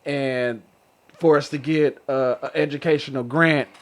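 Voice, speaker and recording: A man's voice: mid-range voice, fairly even intonation, a little slow. An American man from Oklahoma chatting casually on a podcast, insistent and slangy, often repeating himself to press a point. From Oklahoma, United States. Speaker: podcast co-host. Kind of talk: casual unfiltered chat.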